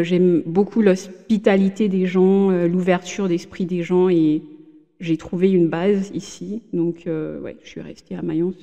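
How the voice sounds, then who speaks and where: A woman's voice: lowish voice, dark tone, in a large hall. A woman in her thirties, a podcast guest, talking casually with many hesitations and restarts. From Benin. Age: 30s. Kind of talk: casual interview chat. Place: room in a home in Germany.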